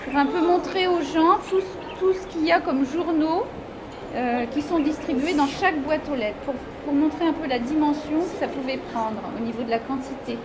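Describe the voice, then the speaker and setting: High-pitched woman over ambient noise. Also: moderate pace. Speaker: artist presenting own work. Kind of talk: unscripted explanation of a project. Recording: clear ambient background noise.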